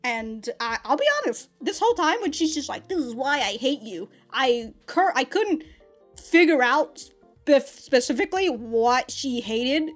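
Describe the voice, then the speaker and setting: A woman's voice: high voice, measured pace, faint music behind. A young adult woman hosting an anime reaction vlog, rambling through a casual review with frequent restarts and laughs. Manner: rambling, animated, laughs often, many restarts.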